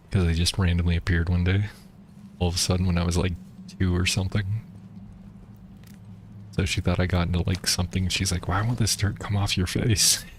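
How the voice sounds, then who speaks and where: Deep, flat-voiced young man chatting. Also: a little hiss or hum. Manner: rambling, laid-back storytelling. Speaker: gameplay streamer. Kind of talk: casual chat while playing.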